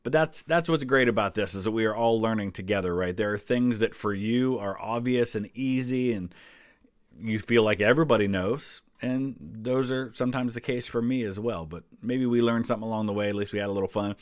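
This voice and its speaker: A man's voice: lowish voice, brisk, dry recording, clean studio sound. An American man in his forties giving casual, conversational commentary as a history channel host. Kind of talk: casual reaction commentary to a quiz.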